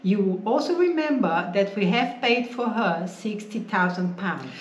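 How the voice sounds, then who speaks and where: A woman's voice: medium pitch, animated, unhurried. A woman in her forties talking steadily to camera, plainly listing items and prices. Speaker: boat-building vlogger. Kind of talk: casual chat to camera. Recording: echoey room with faint noise.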